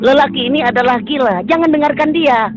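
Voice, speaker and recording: A man doing a shrill, mocking townsman. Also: fairly quick, over music. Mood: dismissive. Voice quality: mocking, dismissive.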